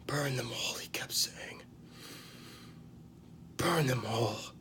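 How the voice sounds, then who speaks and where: A man playing a bitter disgraced knight confessing to killing a mad king, in a halting, dramatic amateur monologue that drops into whispers. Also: medium pitch, pushed and bright, voice pushed up. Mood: haunted, bitter.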